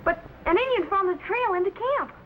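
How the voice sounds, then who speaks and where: A man's voice: very high voice, expressive, fairly quick. An actor playing an eager, impulsive boy assistant in an old sci-fi adventure drama. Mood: earnest, breathless. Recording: dull, noisy old radio-show recording.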